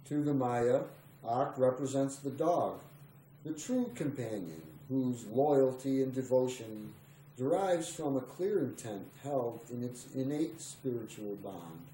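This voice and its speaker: A man's voice: mid-range voice, expressive, slow, warm tone. A man reading a spiritual text aloud in a meditative, scripted style. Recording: roomy echo, faint background noise.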